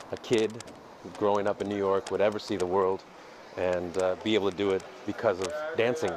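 A man's voice: low-pitched, a little mellow. A man in his thirties, a dance teacher, talks candidly in a walking vlog, reflective and hesitant, recorded on a headset mic outdoors. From United States. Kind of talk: casual walking vlog monologue. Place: city streets outdoors. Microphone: earphone headset mic, phone at arm's length. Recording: noisy outdoor street recording. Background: street ambience and passing vehicles.

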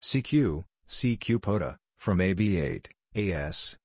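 A man narrating practice drills in a measured, neutral, scripted way, reading out letters, numbers and short phrases with clear enunciation. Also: sits low, lively intonation, darkish tone, no room sound, clean studio sound.

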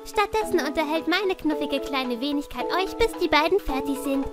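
A cute little mascot creature from a cartoon voiced by a performer, extremely high-pitched and chirpy. It speaks politely and sweetly, with clear children's music behind it. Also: moderate pace, close to an everyday voice. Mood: cheerful announcing. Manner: cutesy, polite announcing. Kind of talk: cartoon fandub.

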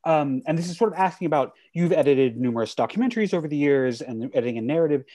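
A man's voice: a little high, animated, fast, warm tone, dry recording. A man in his thirties moderating a Q&A, reading out audience questions over a video call with earbuds. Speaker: film programmer and moderator. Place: home room. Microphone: earbud headset on a video call.

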